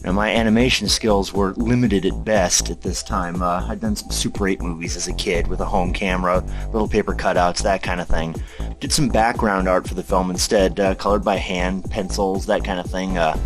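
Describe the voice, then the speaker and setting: A man casually recalling his work as an artist in an interview. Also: low voice. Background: background music.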